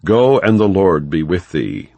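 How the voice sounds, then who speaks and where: A man's voice: deep voice, sing-song, unhurried, creaky, a little mellow, no room sound, dull audio, exaggerated voice. A man reading the part of a cautious king in a scripture audiobook, with a grave, authoritative manner. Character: cautious male king of Israel.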